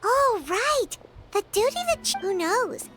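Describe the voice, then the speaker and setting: A woman's voice: extremely high pitch, animated, unhurried. A woman voicing a tiny, childlike female fairy companion in a video game, chatty, cheeky and cutesy. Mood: chatty, curious. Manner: exclamatory, cutesy. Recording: loud music under the voice.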